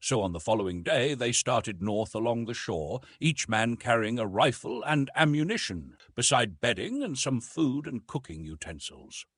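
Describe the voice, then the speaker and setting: Male narrator, husky voice, very animated range, dramatic adventure storytelling, close dry sound. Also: medium pitch, moderate pace, hardly any pauses, dry recording. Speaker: audiobook narrator. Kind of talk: expressive scripted narration of a classic adventure novel.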